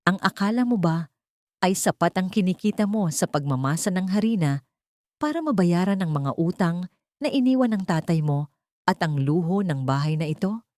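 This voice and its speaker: A woman's voice: fairly low pitch, expressive, normal speed, darkish tone, no room sound, clean studio sound, lightly acted. A woman narrator voicing an angry, threatening, defensive husband in a dramatic audio story. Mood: defensive fury. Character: angry, menacing husband with a shameful secret.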